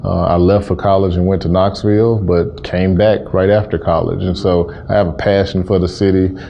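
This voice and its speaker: An American man in his thirties from Memphis, giving measured, earnest interview answers as a city official. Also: low voice, little pitch movement, vocal fry, muffled recording, slight background noise. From Memphis, Tennessee, USA. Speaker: interview guest, city housing and community development director.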